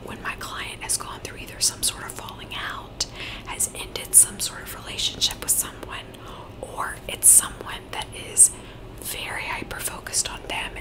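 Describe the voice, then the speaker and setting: A woman whispering softly and reassuringly as a Reiki practitioner, in an ASMR session. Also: poor audio. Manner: soft whispering, gentle and reassuring. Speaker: Reiki practitioner and psychic medium. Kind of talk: whispered ASMR energy-healing talk. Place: Virginia, USA.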